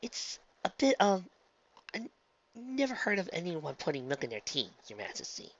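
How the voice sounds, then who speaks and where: A man's voice: high-pitched, wildly swooping pitch, normal speed, muffled recording, voice pushed up, full character voice. A man voicing a shy, polite, bookish female unicorn who speaks with a hesitant stammer. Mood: apologetic.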